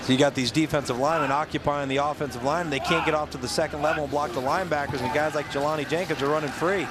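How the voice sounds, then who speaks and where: Male color analyst, American, moderately high voice, technical and explanatory, clear stadium noise around him. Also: steady tone, rapid-fire. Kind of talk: football broadcast analysis. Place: outdoor football stadium. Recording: noisy outdoor stadium broadcast.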